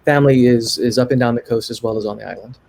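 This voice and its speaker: A man's voice: medium pitch, fairly even intonation, quick pace, gravelly, darkish tone. A man from California speaking casually as a game designer on a panel. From United States, grew up in California.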